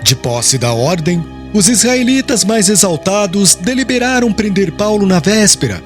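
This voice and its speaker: High-pitched, expressive male narrator. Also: normal speed. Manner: solemn, formal storytelling. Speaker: audiobook narrator. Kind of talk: scripted literary narration. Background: music.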